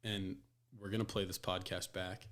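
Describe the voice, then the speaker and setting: A man's voice: low voice, flat delivery, moderate pace, fairly bright tone. A man co-hosting a sports podcast, chatting casually. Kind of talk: casual chat. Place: recorded in person in a shared room.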